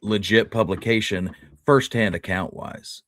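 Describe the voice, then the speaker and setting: A man's voice: lowish voice, fairly even intonation, a little slow, no echo, studio quality. A man co-hosting a history discussion in an easygoing, conversational way. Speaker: podcast co-host.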